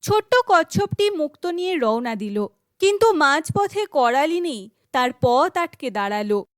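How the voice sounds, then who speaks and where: High-pitched woman narrating a fairy tale. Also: very animated, unhurried, no room sound, studio quality.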